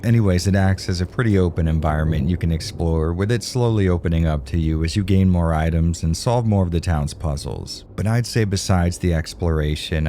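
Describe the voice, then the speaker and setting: A man with a low, mellow voice talks through a game review voice-over. His delivery is casual and candid, with a dry, wry touch, and a faint hiss sits under the recording.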